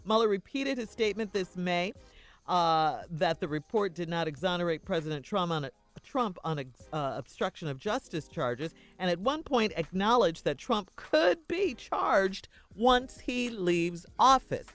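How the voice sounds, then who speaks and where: A woman's voice: lowish voice, expressive, measured pace. An American woman co-hosting a morning radio show, chatting casually and recapping the news.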